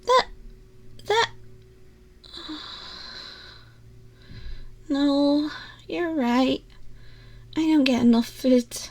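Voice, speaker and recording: A woman's voice: high-pitched, exaggerated voice. A woman playing a tiny, furry haggis girl in an ASMR roleplay, a timid, anxious scavenger who speaks hesitantly, stammering and apologising as she pleads with the listener.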